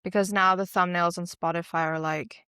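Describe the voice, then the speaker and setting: A woman co-hosting a podcast in relaxed, chatty talk, explaining at length with many fillers. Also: fairly low pitch, normal speed, clean tone, dry recording, studio quality. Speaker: podcast co-host. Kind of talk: casual chat.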